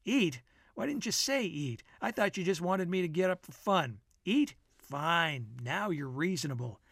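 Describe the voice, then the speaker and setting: A reader puts on a brash American man, a wisecracking character from a storybook novel. He is needling and declamatory, pitched fairly high, in a dry studio sound. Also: animated, a little slow, vocal fry, a bit bright, dry recording, exaggerated voice. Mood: playful.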